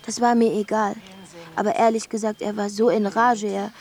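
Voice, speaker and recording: An actress playing an excitable, self-dramatizing, insistent woman in a German radio play. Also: mid-range voice, normal speed, close to an everyday voice. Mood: excited, frank.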